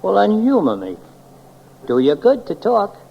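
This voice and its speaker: A eccentric angel, centuries old, speaks in a high, creaky voice with lively swoops. He is fussy and formal, fond of ornate wording, in the manner of a vintage 1940s radio fantasy. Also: a little slow, full character voice. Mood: earnest, chatty. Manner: pompous, wordy, formal. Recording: muffled, noisy old 1940s radio recording.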